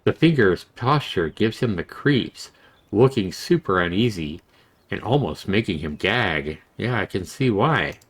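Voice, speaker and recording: A man reading a visual novel game aloud as narrator, deliberate and storytelling, with casual asides. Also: fairly low pitch, expressive, breathy edge. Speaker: video game reviewer and narrator.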